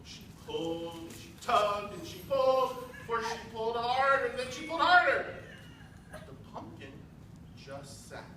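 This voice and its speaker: Animated, high-pitched American man narrating. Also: a little slow. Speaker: narrator and presenter, school staff. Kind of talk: animated storybook narration to children. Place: large school multipurpose room, indoors. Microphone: distant camera. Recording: echoey school hall from far off, noisy.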